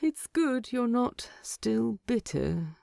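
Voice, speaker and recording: A woman voicing a sleepy, gently teasing male hobbit with a soft manner in a narrated story. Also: fairly high pitch, very animated, slow, creaky, dry recording, studio quality, voice pushed up, full character voice.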